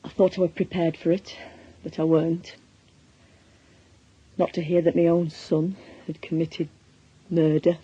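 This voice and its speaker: Slow, husky, sorrowful Northern English mother. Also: fairly low pitch, a little mellow. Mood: grieving, bewildered. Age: middle-aged. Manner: slow, halting, plain-spoken. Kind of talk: reflective first-person radio drama monologue. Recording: dull, muffled radio recording.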